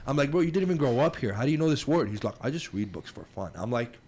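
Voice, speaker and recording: A man's voice: mid-range voice, animated, fast, darkish tone, a little hiss or hum. A young man born in Iraq, chatting casually on a podcast and talking emphatically, often calling people "bro". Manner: emphatic, says "bro" a lot, acts out quoted talk.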